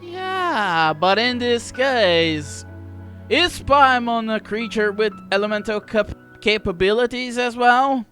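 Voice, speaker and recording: A man's voice: extremely high pitch, expressive, slow, a bit bright, faint music behind. A man giving casual, chatty playthrough commentary as a gaming host, with playful asides.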